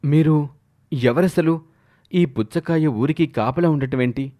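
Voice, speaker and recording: A man's voice: a little high, measured pace, warm tone, pitched above the performer's own voice, lightly acted. A man voicing an anxious, devoted husband in a storybook tale, pleading earnestly.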